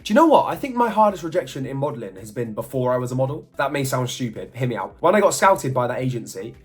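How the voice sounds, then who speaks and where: A man's voice: mid-range voice, very animated, fast, husky, faint music behind. A man, chatting through a casual Q&A vlog with jokey, self-mocking asides. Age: 20s. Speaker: model and YouTuber.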